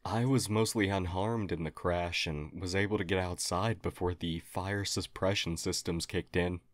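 A man's voice: sits low, fairly even intonation, moderate pace, no echo, close to an everyday voice. A man playing a friendly alien historian, formal, earnest and hesitantly apologetic, in an audio roleplay. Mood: earnest, polite. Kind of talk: audio roleplay acting, addressed to listener.

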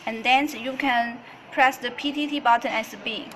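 A woman demonstrating a product in a tutorial style, speaking haltingly in non-native English with repeated phrases. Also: on the high side, fairly even intonation, very noisy. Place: indoors at a desk.

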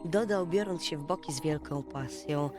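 A woman storyteller reading a bedtime fairy tale in an expressive, dramatic, folksy way. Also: low-pitched, normal speed. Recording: music behind the voice. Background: music.

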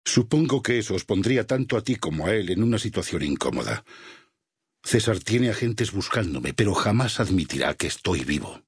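A man voicing a burned, devout warrior in a video game, solemn, measured and vengeful, with a husky, gravelly voice and a Castilian Spanish accent. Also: sits low, no room sound, studio quality, heavily acted. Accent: Castilian Spanish from Spain. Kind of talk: dramatic game character dialogue.

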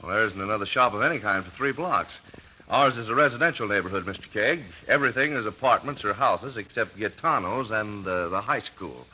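A man's voice: lowish voice, a little fast, bright, forceful tone, a little hiss or hum, low-quality recording. An actor playing an earnest, easily flustered eyewitness in an old-time radio courtroom drama, hesitant as he answers. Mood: earnest, unsure. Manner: hesitant, stammering under questioning.

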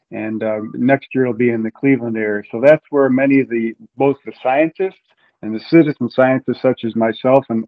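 A middle-aged man giving informal, halting explanations as an interview guest on a video call from home. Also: mid-range voice. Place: room at home. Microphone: video call, earbuds. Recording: narrow, phone-like video call sound.

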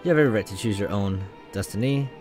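A man voicing a steady, reassuring male starship captain in a visual novel. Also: on the low side, fast, music in the background, close to an everyday voice. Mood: supportive.

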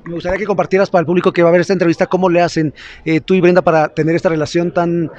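A man's voice: sits high, flat delivery, racing, a little mellow. A male reporter asking casual interview questions, with slightly muffled location sound. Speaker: entertainment reporter. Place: large venue entrance, red carpet.

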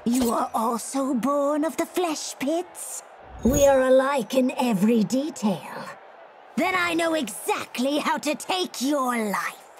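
A ruthless female mutant warrior for a fighting game. Her voice is high and swings widely in pitch, with slow, deliberate threats and an imperious, commanding manner. Clear background noise sits behind the lines. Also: expressive, exaggerated voice. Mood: cold menace. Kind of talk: video game character dialogue.

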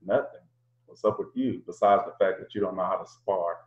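A teasing young woman in a boxing gym, as a man reads her lines. The voice stays soft and a bit low, and it sounds muffled in a roomy space. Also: steady tone, fairly quick, close to an everyday voice. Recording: roomy lo-fi home recording.

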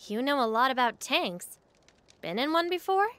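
A confident young woman mechanic, high and bright. She sounds practical, with lively pitch, in a dry video game recording. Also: moderate pace, dry recording. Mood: practical, friendly.

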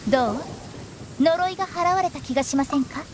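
A woman's voice: high-pitched, expressive, fairly quick, darkish tone, soft background music. A woman playing a cheeky, theatrical young woman who loves pranks, in anime game style. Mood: teasing. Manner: playful, theatrical, teasing.

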